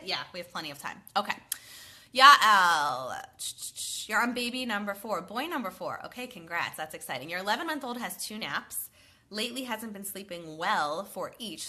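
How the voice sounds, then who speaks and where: A woman in her thirties with a bright voice and a slightly stuffy, cold-struck sound. She talks in a chatty, upbeat way, calling out viewers by name as she answers parents' questions live. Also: mid-range voice. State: congested from a cold, sniffling. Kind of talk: live Q&A, reading comments aloud.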